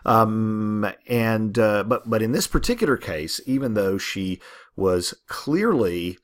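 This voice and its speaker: A man's voice: fairly low pitch, animated, slow. A man giving a recorded literature lecture to his class, conversational and rambling, full of fillers, restarts and rhetorical questions.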